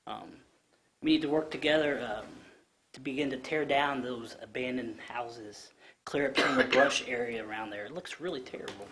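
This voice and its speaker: A man in his thirties with a low voice, speaking earnestly at a podium microphone during public comment at a council meeting, with plenty of ums and restarts. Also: fairly bright tone, a little hiss or hum. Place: council chamber.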